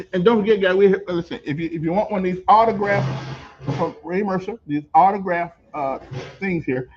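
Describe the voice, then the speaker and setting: An older man hosting a casual on-location interview, telling stories in an animated way, repeating words and laughing as he goes. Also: high voice. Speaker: host. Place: on location at a veterans center. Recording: dull lo-fi recording.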